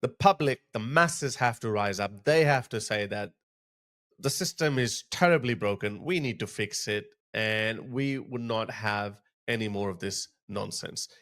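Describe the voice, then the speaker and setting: A man's voice: lowish voice, a little slow, fairly bright tone. A man hosting an interview, speaking earnestly and with emphasis. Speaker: podcast host. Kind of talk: conversational interview.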